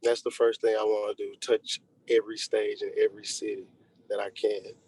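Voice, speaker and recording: A man's voice: fairly low pitch, level tone, brisk, darkish tone, husky, rough recording. A young man being interviewed as a rapper, chatting casually in slang over a video call. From Texas, United States. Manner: slangy, conversational. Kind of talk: casual chat.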